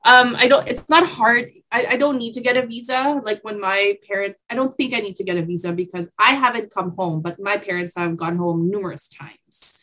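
Young woman from the philippines, guest on a podcast, animated storyteller, laughs easy. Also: medium pitch, low-quality recording. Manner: animated and expressive, quick laughs. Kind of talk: casual interview chat.

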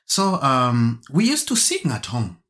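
A man who grew up in Germany, talking as a musician guest in an interview, halting with fillers and restarts and laughing now and then. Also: mid-range voice, huge pitch swings, a bit bright. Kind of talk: casual storytelling interview.

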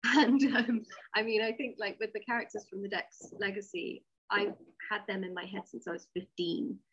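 A woman's voice: mid-range voice, fairly even intonation, moderate pace, a bit bright, slightly rough, muffled recording. A woman interviewed as a writer, explaining her method conversationally, step by step, with rhetorical questions. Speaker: interview guest, audio drama writer.

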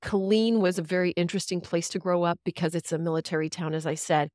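Brief: woman, 50s, from Central Texas, lowish voice, dry close sound, reflective and chatty guest. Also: little pitch movement, fast talker, a little mellow, dry recording. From Central Texas, USA. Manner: reflective, chatty, with easy laughs. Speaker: podcast guest, radio veteran. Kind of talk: casual interview storytelling.

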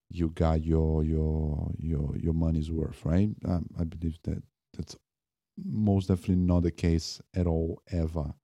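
Low, dark-voiced man musing. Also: no room sound, studio quality. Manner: halting, many restarts and fillers. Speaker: podcast host. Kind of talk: casual spoken music review.

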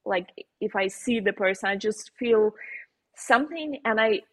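A woman painter with a Slovenian accent, speaking as a guest in a casual interview, pausing often with fillers and restarts. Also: mid-range voice, fairly bright tone. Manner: halting, many fillers and restarts, laughs often.

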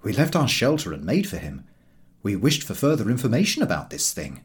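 A man reading comic literature aloud in an expressive, theatrical storytelling manner. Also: lowish voice, a little fast. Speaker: audiobook reader.

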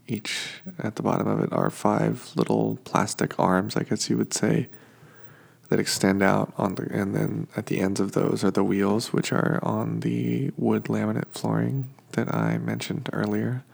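A man with allergies, sounding sniffly, who hosts a sleep podcast and describes his surroundings in a slow, meandering, halting way full of fillers. He is recording at home in his kitchen. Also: on the low side, vocal fry, darkish tone, breathy edge.

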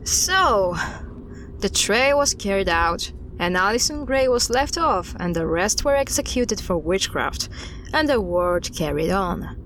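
A woman playing a guarded investigator in an audio drama, recounting an unsettling case in a halting, deliberate way. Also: mid-range voice, lively intonation, a bit bright, slight background noise, poor audio. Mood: measured, uneasy. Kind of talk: audio drama dialogue, storytelling.

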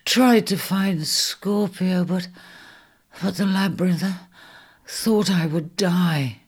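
Exhausted hoarse ram king, woman reading him, barely whispering, broken bits. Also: on the high side, pitched above the performer's own voice, exaggerated voice. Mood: faltering. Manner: halting, broken phrases. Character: weak burned male ram sovereign, near death.

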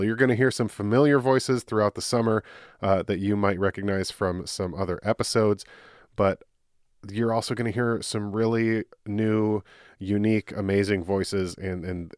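A man hosting a podcast, talking in a chatty, animated way with plenty of fillers as he interviews a guest. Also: lowish voice, moderate pace, no echo, studio quality. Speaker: podcast host. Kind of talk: casual interview.

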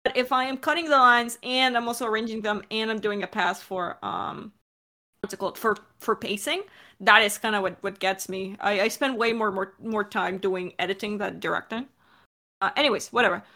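A woman's voice: medium pitch, steady tone, fairly quick, pushed and bright. A woman explaining her work as a director and editor in an informal, casual Q&A style. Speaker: audio drama director and editor. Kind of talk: casual Q&A discussion.

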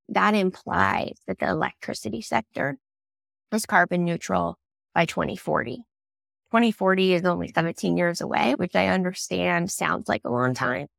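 Woman with a gravelly, cold-scratchy voice. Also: on the low side, no room sound, clean studio sound. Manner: thoughtful, earnest, with small restarts. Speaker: guest, clean energy startup leader. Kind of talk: podcast interview answers.

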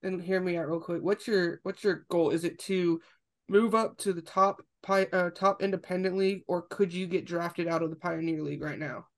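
A young man in his twenties hosts a casual podcast in a high, light voice. He asks curious questions and often stops and restarts them mid-sentence. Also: fairly even intonation, normal speed. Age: 20s. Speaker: podcast host.

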